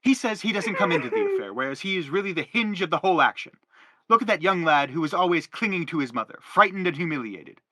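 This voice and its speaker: A man's voice: high voice, sing-song, fast talker, bright, forceful tone, full character voice. A man playing a self-justifying middle-aged father in a stage play, philosophizing earnestly in a wordy, declamatory way. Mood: earnest explaining. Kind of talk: theatrical stage-play reading.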